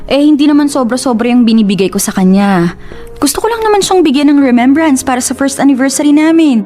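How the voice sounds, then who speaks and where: A woman's voice: high voice, fast, warm tone, faint music behind, lightly acted. A young woman with a Filipino accent acting an exasperated, earnest girlfriend confiding in a friend in a radio drama. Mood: defensive, frustrated.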